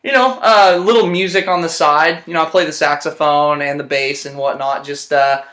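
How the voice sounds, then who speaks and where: Brief: young man, slightly high voice, relaxed rambling talker, slangy and filler-heavy, phone camera in a hospital room. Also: moderate pace. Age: young adult. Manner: laid-back, rambling, slangy with lots of fillers. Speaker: interviewee. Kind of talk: casual chat. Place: hospital room, lying in bed.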